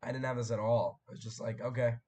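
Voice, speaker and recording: Hoarse young man chatting on a stream. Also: medium pitch, fairly even intonation, quick pace, warm tone. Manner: rambling, chatty, frequent fillers and throat clearing. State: hoarse after a sore throat, voice cracking. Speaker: live stream host. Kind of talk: casual live stream chat, reading viewer comments.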